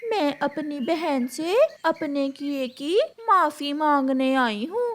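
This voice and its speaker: A woman voicing a sly, greedy bird wife in a cartoon story, with slow, coaxing, boastful delivery. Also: sits high. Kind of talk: Hindi cartoon storybook acting.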